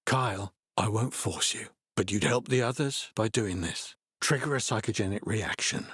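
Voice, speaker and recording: An actor playing a laid-back, earnest middle-aged hippie healer in a video game, explaining things in an easygoing way. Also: male voice, on the low side, normal speed, fairly bright tone, breathy edge, no echo, studio quality. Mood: earnest, persuasive. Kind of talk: video game character dialogue.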